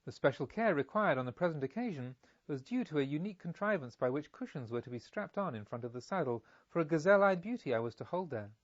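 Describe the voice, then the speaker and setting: A man reading literary narration in a measured, formal and expressive storytelling manner. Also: on the high side, no room sound, dull audio. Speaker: audiobook reader. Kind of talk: scripted literary narration in first person.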